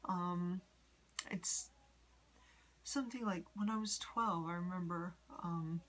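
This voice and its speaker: A woman in her forties from the United States, rambling in a personal vlog with many ums and soft whispery asides. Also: lowish voice, takes it slowly. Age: 40s. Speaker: vlogger. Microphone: phone.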